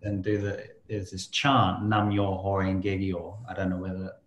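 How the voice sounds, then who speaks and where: A man's voice: low voice, completely flat delivery, a little slow, reverberant, highs cut off, a little hiss or hum. A man hosting a podcast interview, chatting loosely, telling stories with a laugh and some swearing. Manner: storytelling, swears freely, laughs while talking. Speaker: podcast host. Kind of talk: casual interview chat.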